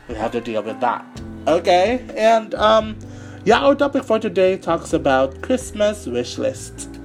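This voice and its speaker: A man with a raspy voice from a cold hosts a casual solo podcast. He rambles along with plenty of fillers and restarts. Faint music plays behind him. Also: medium pitch, poor audio. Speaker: podcast host.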